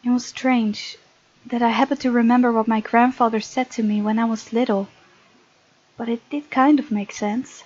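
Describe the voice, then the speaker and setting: Chatty giggly gamer girl reading a visual novel out loud, highish voice, kinda lo-fi sound. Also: fairly even intonation, moderate pace. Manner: chatty, giggly asides while reading aloud. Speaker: gaming video host. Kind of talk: playthrough commentary mixed with reading visual novel text aloud.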